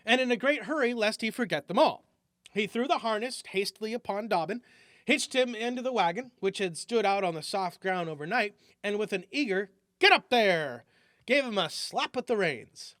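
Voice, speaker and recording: Male storyteller, expressive read-aloud, high voice, tight and dry sound. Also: no echo. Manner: lively, raises pitch and volume for shouted character lines.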